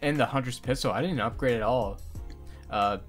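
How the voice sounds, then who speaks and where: Animated young man, music playing behind him. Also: mid-range voice, moderate pace. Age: young adult. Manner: animated, laughing, informal with swearing. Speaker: podcast co-host and hobby voice actor. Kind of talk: casual podcast banter.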